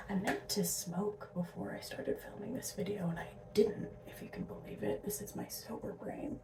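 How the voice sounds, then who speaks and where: A sleep-deprived young woman from Alberta, rambling in a soft whisper with asides and little laughs as she does her drag makeup. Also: mid-range voice, unhurried, background noise. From Alberta, Canada. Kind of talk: casual whispered makeup tutorial. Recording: roomy, mic set at a distance.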